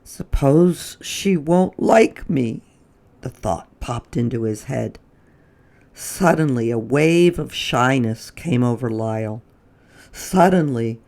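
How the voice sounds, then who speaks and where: A woman reading a children's story aloud as a storytime host, with dramatic emphasis and drawn-out words. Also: rumbling low, dark tone. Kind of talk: expressive read-aloud storytelling.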